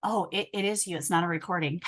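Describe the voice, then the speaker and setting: A woman hypnotherapist chatting in an interview, telling her own story with many fillers and frequent throat-clearing. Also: fairly low pitch, quick pace, crowd noise. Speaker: hypnotherapist guest.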